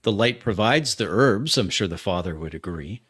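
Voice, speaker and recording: A man improvising in character as a tabletop roleplaying player, deliberately mulling over his phrases aloud. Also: fairly low pitch, animated, a bit bright, breathy edge.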